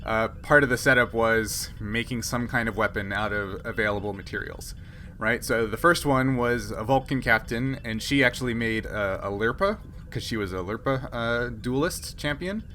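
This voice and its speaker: A man working as game master, explaining and ruling on a tabletop game in a halting, think-aloud way. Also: medium pitch, bright, forceful tone, slight background noise.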